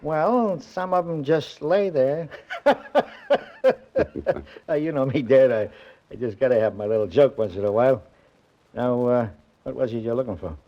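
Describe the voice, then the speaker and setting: An actor playing a chatty, joking newspaper archive clerk in a 1940s radio drama, with a folksy, drawn-out, wisecracking delivery. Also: male voice, mid-range voice, natural voice. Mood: jovial. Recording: dull vintage 1940s radio recording.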